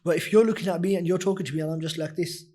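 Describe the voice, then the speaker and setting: A young man with a husky, deep voice, a nasheed reciter talking earnestly in a casual interview, full of "you know" fillers. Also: rapid-fire, mellow. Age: young adult. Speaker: nasheed artist guest.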